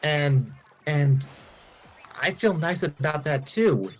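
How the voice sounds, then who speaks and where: A flustered young boy trainer, earnest and awkward, keeps restarting his sentences, as a man acts him out. The voice sits a bit higher than a man's own and has a slightly husky edge. Faint music plays underneath. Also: animated, slow, heavily acted.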